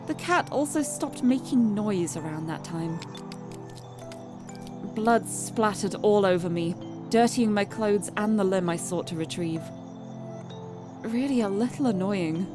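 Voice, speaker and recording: A woman's voice: medium pitch, expressive, a little slow, pauses often. A woman streamer reading visual novel narration aloud, expressively and dramatically, with casual asides to viewers. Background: music.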